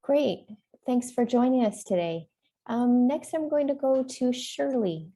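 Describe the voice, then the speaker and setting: A woman moderating a panel on a video call, passing the turn to each speaker in a brisk, friendly way. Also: sits high, lively intonation, warm tone.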